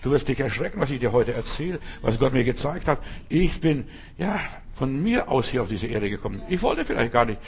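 A man's voice: mid-range voice, big pitch swings, brisk, a little mellow, a little hiss or hum. A man preaching a sermon, speaking emphatically in repeated refrains and addressing the listener directly.

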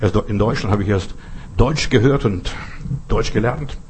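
A man's voice: on the low side, normal speed, darkish tone, a little hiss or hum. A middle-aged man who is not a native German speaker, preaching earnestly with personal stories and repeating phrases for emphasis. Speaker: pastor.

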